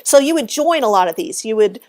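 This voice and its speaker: A woman with a high voice, exuberant and chatty, explaining cemetery history as a guest on a live stream. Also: lively intonation, a little fast, a little mellow. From Texas.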